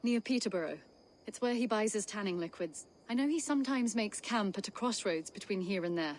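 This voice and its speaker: A woman's voice: medium pitch, lively intonation, fairly quick, lightly acted. An actress playing a worried, earnest village woman in a video game, polite and plain-spoken.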